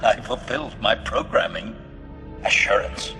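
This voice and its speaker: A man's voice: fairly low pitch, lively intonation, measured pace, bright, forceful tone, long reverb tail, faint music behind. A man voicing a servile, smugly precise, gleefully murderous assassin droid in a video game, speaking in formal, clipped announcements through a robotic filter. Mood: pleased, menacing. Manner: formal, clipped, announces each statement with a label word. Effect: metallic robot voice filter. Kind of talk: video game character dialogue.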